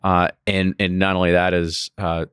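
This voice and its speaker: A man's voice: deep voice, level tone, normal speed, fairly bright tone, smooth, dry recording, studio quality. A man hosting a podcast interview, talking casually and rambling, often restarting his phrases. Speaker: podcast host.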